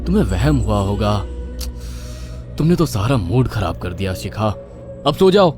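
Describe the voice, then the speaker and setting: A man's voice: lowish voice, big pitch swings, normal speed, over music. A man playing an anxious young husband and son in a dramatised audio story. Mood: irritated.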